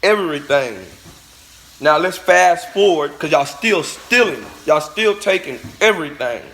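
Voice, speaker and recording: A man's voice: on the high side, lively intonation, measured pace, pushed and bright, rough recording. A man delivering a blunt, profane, preachy rant straight at his viewers in a vlog. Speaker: vlogger. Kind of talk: ranting monologue to camera.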